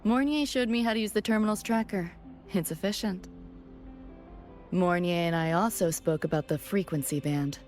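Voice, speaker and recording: A lowish female voice from an actress plays a cool young cyberpunk operative in a game. Her lines are curt, guarded and businesslike, with music underneath. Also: normal speed, a little mellow, natural voice. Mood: matter-of-fact. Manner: terse, matter-of-fact. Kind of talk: animated game character dialogue.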